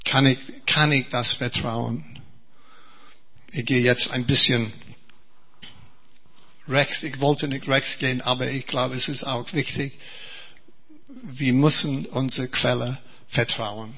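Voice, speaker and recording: An Englishman preaching in German, a second language learned alongside his native English, speaking slowly and earnestly with many repetitions and restarts. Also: medium pitch, fairly even intonation, sharp tone, slightly rough, muffled recording. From England. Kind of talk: sermon.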